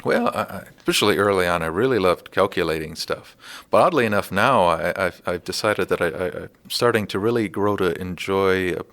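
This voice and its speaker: A man in his thirties from the Detroit area, a scientist speaking in a thoughtful, halting way in an interview. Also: deep voice, lively intonation, fairly bright tone. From Detroit area, Michigan, USA. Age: 30s. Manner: thoughtful, halting, with repeated words. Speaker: scientist, former student. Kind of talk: conversational interview.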